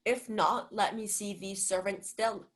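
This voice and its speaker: A woman's voice: mid-range voice, fairly even intonation, unhurried, close to an everyday voice. A woman playing a smug, besotted male steward in a Shakespeare radio play, speaking in a pompous, declamatory manner. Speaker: student actor.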